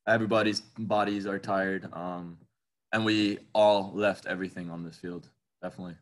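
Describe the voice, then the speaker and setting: A man's voice: deep voice, fairly even intonation, normal speed. A young man, a college soccer player tired after a match, answering questions at a press conference. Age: young adult.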